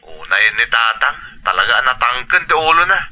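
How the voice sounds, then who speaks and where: Sharp, bright Ilocano brother on phone. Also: male voice, medium pitch, lively intonation, a little fast, poor audio. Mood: resigned, concerned. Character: concerned, frustrated elder brother on the phone. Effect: telephone filter. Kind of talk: Ilocano radio drama acting.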